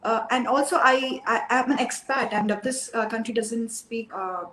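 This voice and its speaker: A woman's voice: a little high, moderate pace, a bit bright. Woman guest, hesitant with many fillers, roomy sound, faint noise. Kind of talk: casual chat.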